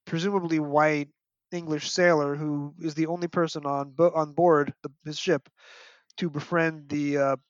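Higher-voiced man, opinionated storyteller. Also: flat delivery, normal speed, dry recording. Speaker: podcast guest, fan and parent. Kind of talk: casual book discussion. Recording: dull, dry lo-fi audio.

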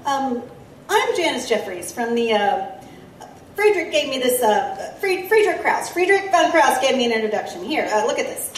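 An eager young woman reporter, acted by a woman in an audio drama. She is polite and insistent with small hesitations, her voice fairly high and lively, set a bit back in the room. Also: moderate pace. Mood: flustered. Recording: roomy, distant, faint background noise.

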